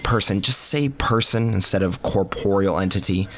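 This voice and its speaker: Guy doing a blunt cynical skeptic, low voice, scornful and defensive, audio story dialogue. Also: moderate pace, lightly acted. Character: blunt, scornful male skeptic confronting a paranormal author.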